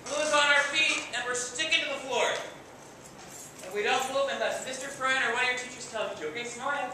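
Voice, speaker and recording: A man with a high-pitched voice hosts a children's school play. He speaks informally and encouragingly, like a director guiding young performers step by step. The recording is very echoey and distant, with clear background noise. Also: fairly quick. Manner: encouraging, playful, gives simple step-by-step instructions to children. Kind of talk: informal live announcing, coaching young children on stage. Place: large echoey room before an audience.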